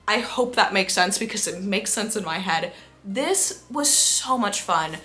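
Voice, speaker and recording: A woman talking casually to viewers as a book vlogger, with a gushing, excitable and emphatic manner. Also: medium pitch, unhurried, faint music behind.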